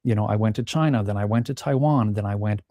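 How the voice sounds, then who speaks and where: A middle-aged man from the Czech Republic talks as an interview guest in a mellow, warm voice, recorded dry and close. He speaks thoughtfully, often restarting phrases, and acts out remembered lines of dialogue. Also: fairly low pitch, quick pace, no room sound. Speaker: pianist and podcast guest. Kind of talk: reflective interview talk.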